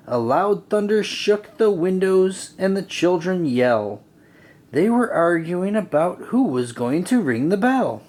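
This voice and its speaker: Slow, lively male children's storybook reader. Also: fairly high pitch. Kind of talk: children's read-aloud narration.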